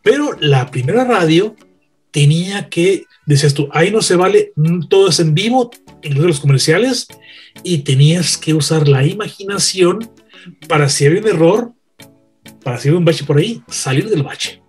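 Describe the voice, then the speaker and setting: A man's voice: on the high side, animated, moderate pace, a little mellow, slightly rough, soft background music. A man, a radio veteran chatting and telling anecdotes emphatically, repeating phrases for effect. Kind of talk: animated anecdote-telling in a panel chat.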